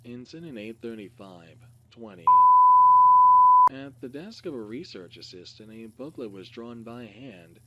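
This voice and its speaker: A man reads a scripted case file as narration, in a halting, word-by-word way. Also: on the low side, pushed and bright. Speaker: reader. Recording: clearly noisy recording with hum.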